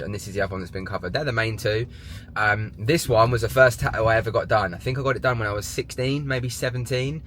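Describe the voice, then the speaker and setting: A chatty man talks casually to his camera, his lowish voice a little stuffed up from a cold. He rambles in an upbeat, friendly way. Faint background noise sits under the handheld recording. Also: bright, forceful tone. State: congested with a sore throat. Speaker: vlogger. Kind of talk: casual vlog chat.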